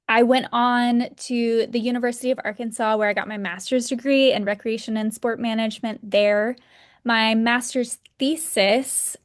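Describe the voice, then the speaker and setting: A woman in her thirties from Texas, a podcast guest telling her story in a relaxed, rambling way. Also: a little high. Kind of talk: casual interview.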